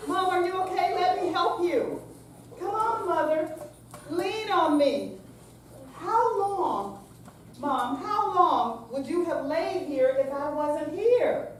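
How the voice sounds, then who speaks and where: A woman playing a patient, coaxing grown daughter in a staged reading, with deliberate, read-out delivery. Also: extremely high pitch, expressive, full character voice. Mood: worried. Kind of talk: staged readers theater reading. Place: senior center room, staged live reading. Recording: very echoey hall, distant mic, faint noise.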